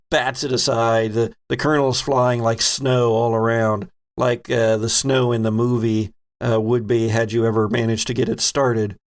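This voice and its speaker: A man narrating a tabletop horror game as game master, describing scenes vividly and addressing the players directly. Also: medium pitch.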